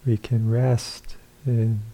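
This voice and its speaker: A man giving guided meditation instructions as a teacher, calm and unhurried, with long pauses between short phrases. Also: mid-range voice, vocal fry, poor audio.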